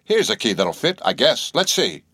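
A man voicing a bossy middle-aged innkeeper woman who scolds and gives orders briskly. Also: medium pitch, wildly swooping pitch, vocal fry, pushed and bright, husky, dry recording, clean studio sound, voice pushed up, full character voice. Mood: commanding.

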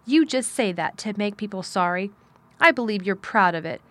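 A woman's voice: mid-range voice, expressive, moderate pace, lightly acted. Sour, stubborn young girl, acted by a woman. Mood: sour, scornful. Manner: fierce, contradicting, sharp retorts. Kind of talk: audiobook character dialogue.

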